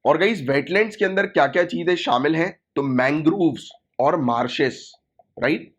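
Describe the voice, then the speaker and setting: A man's voice: on the high side, normal speed. A man with a sore throat, teaching an exam-prep lesson online and repeating key points with a checking "right?". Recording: dull lo-fi recording.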